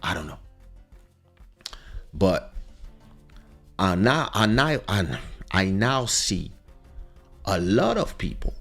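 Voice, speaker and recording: A man's voice: low voice, sing-song, slow pace, long pauses, a bit bright, soft background music. A man from Paris, born in Congo, speaking English with a French accent in an emphatic, reflective, unscripted podcast monologue, repeating phrases for emphasis. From born in Kinshasa, Congo, raised in Paris from age seven. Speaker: podcast host.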